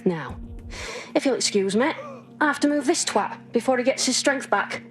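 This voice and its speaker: A woman's voice: fairly high pitch, animated, normal speed, music in the background, lightly acted. An actress playing a cocky, teasing, dangerous female vigilante in a video game. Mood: teasing, confident. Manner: teasing, brash, chatty.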